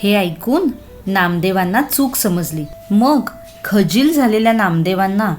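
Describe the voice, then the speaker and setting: A woman's voice: mid-range voice, animated, a little slow, darkish tone, faint music behind. A woman narrating a scripted children's story expressively, addressing young listeners with questions.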